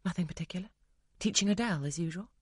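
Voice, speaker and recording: An audiobook reader voicing a timid young governess who answers softly and deferentially. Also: mid-range voice, racing, breathy edge, no room sound, studio quality, pitched above the performer's own voice, lightly acted. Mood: reserved. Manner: quiet, restrained, deferential. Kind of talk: audiobook character reading.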